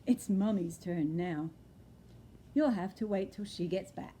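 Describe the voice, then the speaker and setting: A woman reading aloud and voicing a father barn owl, calm and matter-of-fact. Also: mid-range voice, unhurried, a little hiss or hum, natural voice.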